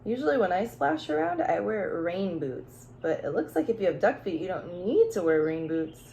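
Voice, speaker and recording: A woman's voice: mid-range voice, very animated, normal speed, gravelly, dark tone, slight background noise. A woman teacher reading a children's storybook aloud, expressively, with questions and asides addressed straight to young listeners.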